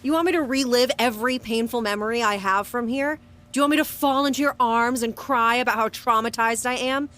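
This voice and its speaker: A woman's voice: on the high side, a little fast, a bit bright, close to an everyday voice. An actress playing a hot-tempered, wounded young woman in an audio drama, with a blunt, sweary way of talking. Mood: angry, defiant. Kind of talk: audio drama acting and first-person narration.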